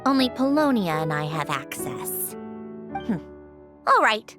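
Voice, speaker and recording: A woman playing a proud, prickly, easily flustered young female genius in a video game dub, brash and expressive. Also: mid-range voice, slow pace, over music. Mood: focused, smug.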